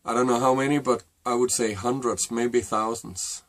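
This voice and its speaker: A middle-aged man with a Danish accent, a native Danish speaker, telling a personal story to camera in a halting, reflective way. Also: mid-range voice, fairly even intonation. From Denmark. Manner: halting and reflective, with many fillers and restarts. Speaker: vlogger.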